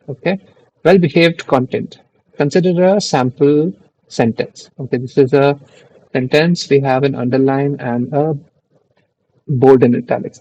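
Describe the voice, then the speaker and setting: A man's voice: mid-range voice, darkish tone, slightly rough, dry recording, poor audio. A young man who is not a native English speaker, reading an article aloud on a live stream and musing between lines in a rambling, easily distracted way. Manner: rambling, self-interrupting, distracted asides. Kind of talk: thinking aloud while reading.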